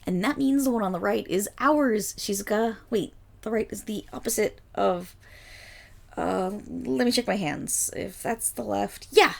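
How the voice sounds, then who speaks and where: A woman playing an excitable, affectionate, dim-witted young dog girl in a roleplay audio drama, bubbly, naive and eager. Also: medium pitch, measured pace, vocal fry. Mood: cheerful, eager.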